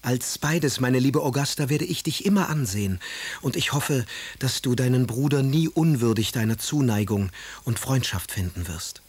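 Passionate, melancholy poet, mid-pitched. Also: male voice, lively intonation, normal speed, a little mellow, husky. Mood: tender. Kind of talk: literary radio drama recitation of letters and verse.

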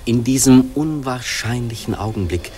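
An actor playing a grave, intense reciter of a hero's words in 1940s German radio drama, solemn and declamatory. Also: male voice, mid-range voice, moderate pace, dark tone, close to an everyday voice. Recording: old 1940s radio recording over music.

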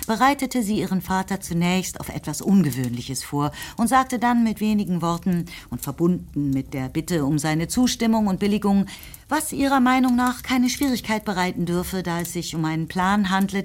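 A woman narrating a literary radio play, telling the story in a measured, scripted way. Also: lowish voice, animated. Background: instrumental music.

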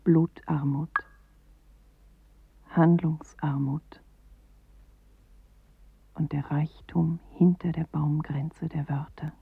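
A solemn, measured narrator of bleak poetry in a radio play. The voice is breathy and creaky, dry and close, in a narrow-band old recording. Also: medium pitch, little pitch movement, no echo, full character voice. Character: grave poetic narrator reciting bleak apocalyptic images. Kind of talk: literary radio-play recitation.

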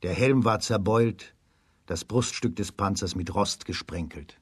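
A man narrating a scripted fairy tale for a children's radio play in a measured storytelling manner. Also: lowish voice, breathy edge, no echo, studio quality.

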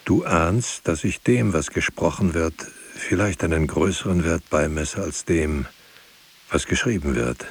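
Very deep, gravelly brooding writer. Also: male voice, full character voice. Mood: solemn, brooding. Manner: solemn, deliberate, halting phrases. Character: grave, brooding writer musing on words and silence. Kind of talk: poetic radio drama monologue.

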